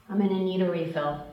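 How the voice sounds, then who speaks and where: Woman acting a guarded young crook girl, lowish voice, super echoey room. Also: a little mellow, muffled recording. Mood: weary. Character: reluctant, guarded young female criminal. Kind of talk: table read of a screenplay. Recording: very echoey room, distant mic, noise.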